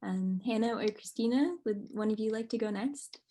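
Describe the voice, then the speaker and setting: A woman's voice: a little high, normal speed, dark tone, a little hiss or hum. Woman, video call, casual group host, friendly, hesitant, lots of ums. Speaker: workshop facilitator. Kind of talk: casual online group check-in.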